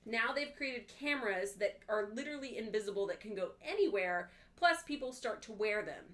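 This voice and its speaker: A woman's voice: a little high, expressive, fairly quick. A woman co-hosting a casual book club livestream, explaining her thoughts point by point.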